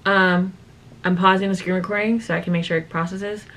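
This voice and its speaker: A woman's voice: fairly low pitch, fairly even intonation, brisk, a bit bright. An eighteen-year-old woman reacting casually to videos at home, laughing often and talking to viewers as y'all. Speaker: reaction vlogger. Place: indoor room at home. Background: music from the video she is reacting to.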